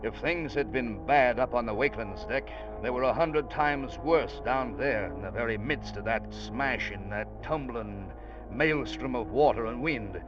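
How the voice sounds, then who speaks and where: Casting: male actor as earnest ship's officer and storyteller, mid-pitched, vintage radio drama, muffled recording with prominent music. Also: measured pace, lightly acted. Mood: grave, dramatic. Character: earnest ship's officer recounting a harrowing sea rescue. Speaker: first-person narrator.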